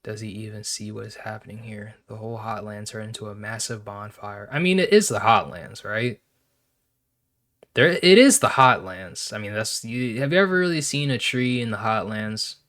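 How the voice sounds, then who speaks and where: Low-voiced young man, casual reaction commentary. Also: expressive, moderate pace, fairly bright tone, no room sound, studio quality. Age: young adult. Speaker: reaction video host.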